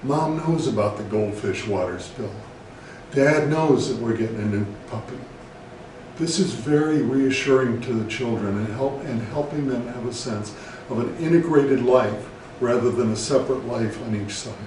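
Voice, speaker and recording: American guy therapist doing a measured how-to talk, super echoey, bit of background hiss. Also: mid-range voice. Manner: measured, deliberate, instructional. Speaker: child and family therapist presenting advice. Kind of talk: scripted educational talk to camera. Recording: very echoey room, distant mic, faint noise.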